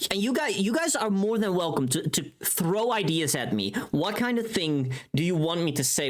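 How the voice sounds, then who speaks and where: A livestreamer chatting casually and thinking aloud, playful and rambling, with some unfiltered swearing. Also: medium pitch. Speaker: livestreamer and voice pack creator.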